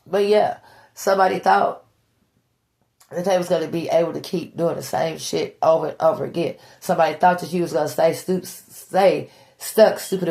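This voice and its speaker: Blunt, gravelly-voiced woman tarot reader. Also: sits low, darkish tone. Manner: blunt, profane, preachy, repeats herself. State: stuffy nose. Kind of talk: tarot reading monologue.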